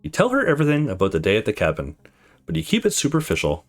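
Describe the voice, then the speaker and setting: A man's voice: a little high, wildly swooping pitch, fast talker, gravelly, soft background music. A man hosting a let's play, casually commenting and reading visual novel dialogue aloud in an informal, off-the-cuff way. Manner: informal, sweary, reacts off the cuff.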